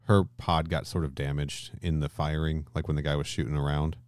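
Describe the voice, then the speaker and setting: A man with a very deep voice talks casually as a podcast co-host. The sound is close and dry. He explains in a halting way, often rewording his phrases as he goes. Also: little pitch movement, no room sound. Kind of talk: casual discussion.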